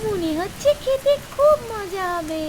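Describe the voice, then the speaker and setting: Very high, childlike little village girl. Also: lively intonation, background noise. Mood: delighted. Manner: childlike, whiny, drawn-out pleading. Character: eager, hungry little village daughter, sweetly pleading. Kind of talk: Bengali fairy-tale cartoon dubbing. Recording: loud rain and thunder behind.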